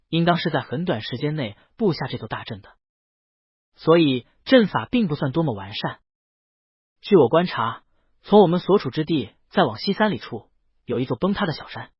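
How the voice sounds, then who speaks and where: A man voicing a composed, respectful female immortal cultivator, explaining in a calm, measured way. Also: a little high, slightly rough, no room sound, lo-fi sound, full character voice.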